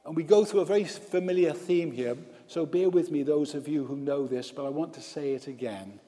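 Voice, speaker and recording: A male priest and hospital chaplain preaching a baptism sermon, slow and emphatic, with repeated lists. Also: fairly high pitch, warm tone. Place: church with long reverb. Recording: reverberant church hall.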